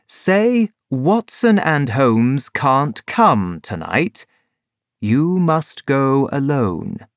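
A reader voicing a composed male detective who explains and gives orders in measured tones. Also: medium pitch, no echo, clean studio sound, exaggerated voice. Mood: calm. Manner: calm, measured, authoritative. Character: calm, commanding male detective.

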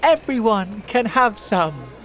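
A man voicing a friendly, excited small boy in a storybook, eager and reassuring. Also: sits high, slow pace, pitched above the performer's own voice, heavily acted. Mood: happy, generous.

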